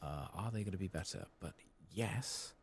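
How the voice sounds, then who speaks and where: A man giving soft-spoken, sometimes whispered ASMR commentary on a game, murmuring to himself and reading text aloud. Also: low-pitched, normal speed, slight background noise. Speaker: ASMR gaming channel host.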